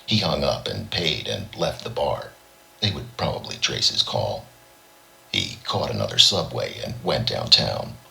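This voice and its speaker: Male audiobook narrator, extremely low pitch, very forceful bright edge, expressive story reading. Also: unhurried, gravelly, poor audio. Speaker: audiobook reader.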